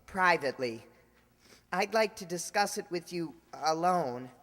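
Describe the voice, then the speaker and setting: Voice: medium pitch, expressive, unhurried, fairly bright tone. A performer acts an eager schoolboy nephew from an old-time radio comedy. The delivery is boyish, casual and slangy. The sound carries a long hall reverb. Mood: sheepish, eager.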